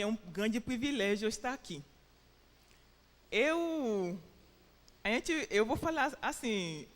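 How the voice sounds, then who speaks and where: A woman's voice: medium pitch, long reverb tail. Woman preaching. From Benin. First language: French. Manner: earnest, with hesitant restarts and fillers. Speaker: missionary preacher. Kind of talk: sermon and prayer. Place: church sanctuary with a PA.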